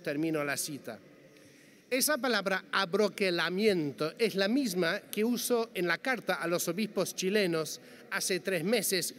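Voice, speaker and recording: Man lecturing in a reverberant hall. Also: high-pitched. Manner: measured, careful delivery with quoted passages. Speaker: panel speaker. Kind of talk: reading a prepared lecture. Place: large conference hall. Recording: long hall reverb, faint background noise.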